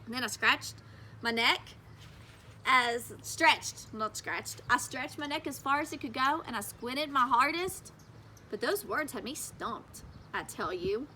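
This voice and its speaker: Bright-voiced woman reading a children's story. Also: sits high, animated, measured pace, slight background noise. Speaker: teacher reading aloud. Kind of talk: expressive children's story narration.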